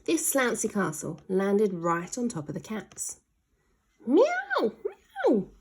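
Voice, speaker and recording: A woman's voice: mid-range voice, lively intonation, measured pace, a little mellow, slight background noise. A woman reading a children's story aloud expressively, stretching key words for dramatic emphasis.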